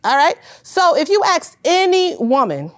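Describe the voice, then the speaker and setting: A woman in her forties from Connecticut, a business owner giving a loud, emphatic pitch and calling her listeners y'all. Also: sing-song, a little slow, dry recording, studio quality. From Hartford, Connecticut.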